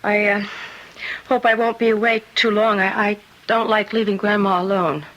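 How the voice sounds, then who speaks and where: An actress playing a fragile, tender young woman who talks haltingly in an old-time radio drama. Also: mid-range voice, fairly even intonation, fairly bright tone, lightly acted, low-quality recording. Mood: hesitant. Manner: hesitant, halting.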